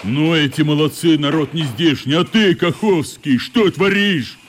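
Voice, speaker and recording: An actor in a radio play voicing a gruff but kindly older school shop teacher who teases and grumbles good-naturedly. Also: male voice, a little high, a little slow, slight background noise, natural voice. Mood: gruff teasing. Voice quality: fierce, gruff voice.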